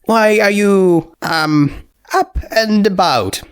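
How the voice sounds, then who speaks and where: A man's voice: sits high, takes it slowly, clean tone, voice pushed up. A man voicing a sceptical young female pony princess who speaks hesitantly and with awkward politeness. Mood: awkward, puzzled.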